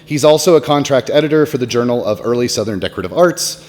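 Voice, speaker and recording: A man hosting a conference session, briskly reading an introduction of the next speaker. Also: medium pitch, lively intonation, darkish tone. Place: conference hall. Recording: long hall reverb, faint ambience.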